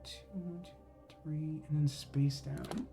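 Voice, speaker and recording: A man's voice: fairly high pitch, steady tone, slow, gravelly, music in the background. A man doing casual live gameplay commentary, rambling and thinking aloud as he questions himself. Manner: rambling, musing, self-questioning. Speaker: game streamer.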